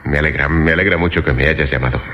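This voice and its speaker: A man's voice: a bass voice, fast talker, long reverb tail. An actor playing an uneasy man unsettled by a haunted portrait, in a radio horror drama. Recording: muffled lo-fi radio drama recording.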